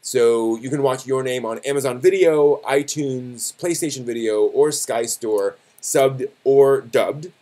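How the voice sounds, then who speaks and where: A man's voice: mid-range voice, warm tone. Man casually presenting news on a live stream. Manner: conversational, halting, often self-correcting. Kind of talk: casual anime news commentary to viewers.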